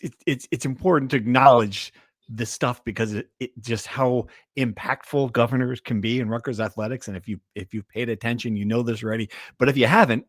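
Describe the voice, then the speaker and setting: A man's voice: on the low side, no room sound, studio quality. A man hosting a casual sports talk podcast, rephrasing mid-thought and leaning on words for emphasis.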